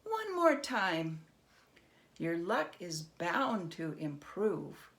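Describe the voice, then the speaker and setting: A sly storybook trickster, a man played by a woman, talks in an unhurried, gravelly-edged voice. He toys with his listener as if he has all the time in the world. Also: fairly low pitch, big pitch swings, a bit bright, full character voice. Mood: mock sympathy. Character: sly, unhurried man outwitting a goblin at dreidel. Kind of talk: storybook character voice.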